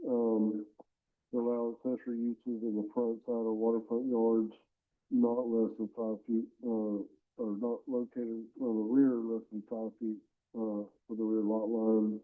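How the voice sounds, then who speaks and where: A man presents to a county board in a nasal, congested voice. His delivery stays flat and even as he reads legal text aloud. The audio is narrow and phone-like. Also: fairly low pitch, a little slow. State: nasal from a head cold. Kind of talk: formal presentation at public meeting. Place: county commission meeting room.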